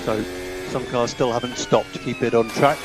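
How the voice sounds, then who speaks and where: A man's voice: mid-range voice, wildly swooping pitch, normal speed, over music. A man working as a race engineer, giving clipped, repeated instructions over motorsport team radio. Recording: noisy car team radio.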